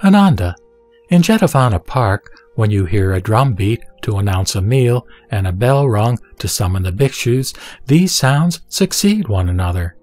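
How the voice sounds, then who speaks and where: A man's voice: on the low side, sing-song, normal speed, a little mellow. Man reciting scripture over music. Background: background music.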